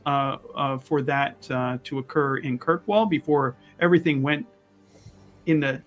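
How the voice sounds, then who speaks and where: A man playing a pompous, condescending adventurer mage in a tabletop game, wordy and often halting mid-sentence. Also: a little high, close to an everyday voice.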